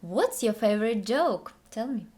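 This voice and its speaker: Woman, native Russian speaker, Russian accent, unhurried pace, hesitant simple phrasing, English lesson co-host. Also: on the high side, big pitch swings, a little mellow. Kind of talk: conversational question-and-answer for learners.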